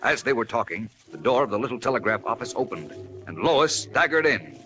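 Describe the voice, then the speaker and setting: A man's voice: medium pitch, very animated, fairly quick, poor audio. A man narrating a scripted radio serial as an announcer in 1940s style. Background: music.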